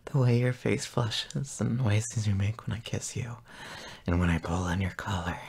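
A man playing a tender, possessive, gently dominant boyfriend in an intimate audio roleplay, often whispering in a soft, breathy murmur. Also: lowish voice, steady tone, full character voice. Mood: adoring, possessive. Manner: slow, soft, murmuring with pauses.

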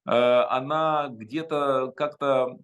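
A man's voice: medium pitch, steady tone, slow pace, a bit bright. Emphatic Ukrainian man on a video call. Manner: emphatic, frequent hesitations. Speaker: interview guest. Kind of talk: interview answers. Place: home study, indoors.

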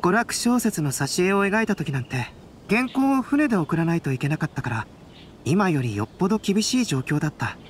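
An actor playing a calm, unflappable young male artist who explains things in a composed, reasoned way in a game cutscene. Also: high-pitched, brisk, a little hiss or hum. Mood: calm, gentle. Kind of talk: Japanese game cutscene acting.

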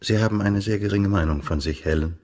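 An actor playing a dry, reluctant financial adviser in a German radio play, gravelly, reserved and matter-of-fact. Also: male voice, on the low side, brisk, darkish tone, a little hiss or hum, close to an everyday voice.

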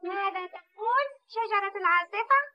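A woman playing an eager, curious, tender-hearted little mountain girl in a dubbed cartoon, with childlike, excitable questioning. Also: very high voice, moderate pace, dull audio. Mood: curious, concerned.

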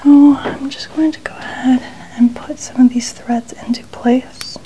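Softly whispering young woman, mellow. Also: high-pitched, fairly even intonation, rough recording. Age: young adult. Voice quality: soft, breathy whispering. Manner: gentle, unhurried narration of small actions. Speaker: ASMR host playing a dress stylist. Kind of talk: ASMR styling roleplay. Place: small indoor studio room. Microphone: small mic clipped at chest.

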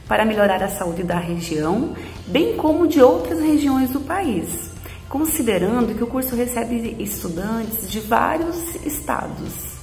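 Formal woman, gravelly voice, echoey room. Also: fairly high pitch, a little mellow. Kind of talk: prepared institutional talk.

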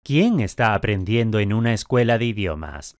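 A man speaking clearly articulated drill questions and statements in a language course. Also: lowish voice, animated, normal speed, no echo, clean studio sound.

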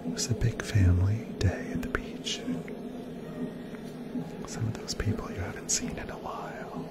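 A middle-aged man whispering a slow, soft second-person story for ASMR into a windscreen mic in a small dim room. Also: lowish voice, fairly even intonation. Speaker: ASMR creator. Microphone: fluffy windscreen mic on stand.

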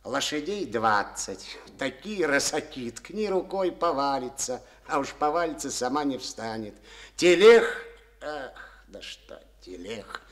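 A man's voice: mid-range voice, sing-song, slow. A bitter, stubborn old collective-farm chairman. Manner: folksy, bitter, full of proverbs. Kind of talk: 1950s studio radio play acting.

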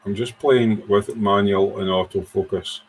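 A man's voice: on the low side, steady tone, unhurried, slightly rough, poor audio. A man doing a casual live build of an electronics kit, thinking aloud and counting parts in a workshop. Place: workbench in a home workshop.

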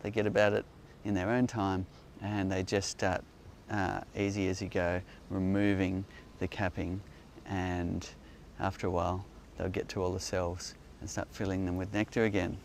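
A man with a low, gravelly voice answers questions and explains with enthusiasm as he demonstrates in an outdoor garden. There is faint outdoor noise in the background, and the recording sounds like a phone camera held nearby. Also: flat delivery, normal speed. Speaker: beekeeping company host.

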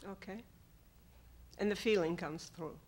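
A woman in the audience asking a question and making comments from the floor, with an uneven, deliberate pace. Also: fairly low pitch, darkish tone.

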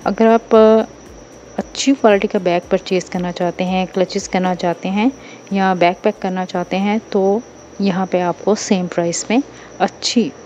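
Woman, Pakistani Urdu accent, casual shopping vlog, warm tone, dull audio, faint bazaar hum. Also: medium pitch, steady tone, normal speed. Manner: chatty, informal shop tips. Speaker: shopping vlogger. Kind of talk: casual market walkthrough vlog. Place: busy indoor bazaar.

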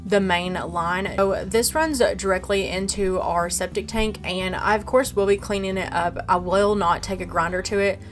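A woman's voice: fairly low pitch, a bit bright. A woman vlogger giving a casual walkthrough of her farmhouse renovation, explaining her plans step by step, filmed on a handheld phone in an empty room.

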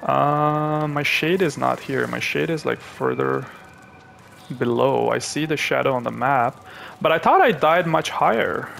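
A young man from Pakistan, hosting a gaming channel, who chats to viewers and thinks aloud as he plays, with excitable reactions. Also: medium pitch, measured pace, creaky, slight background noise. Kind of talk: live gameplay commentary.

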